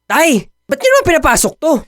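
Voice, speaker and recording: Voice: sits high, wildly swooping pitch, fast, fairly bright tone, dry recording, clean studio sound, exaggerated voice. A performer playing an irritable, aloof young Filipino man in a radio drama, curt and dismissive. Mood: annoyed, dismissive.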